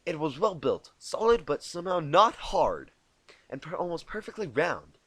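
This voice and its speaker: Male amateur narrator, self-deprecating and stumbling, lively pitch, dry close sound. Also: moderate pace, husky, dry recording. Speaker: amateur fan fiction reader. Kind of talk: informal storybook narration with asides. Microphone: cheap home microphone.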